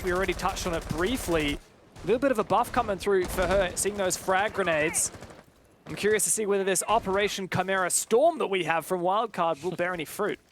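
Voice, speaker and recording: Guy casting an esports match, pretty high voice, chatty n jokey, game gunshots going off behind him. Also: background noise. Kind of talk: live esports commentary, conversational. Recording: game gunfire and clicks behind voice. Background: game sound with gunshots.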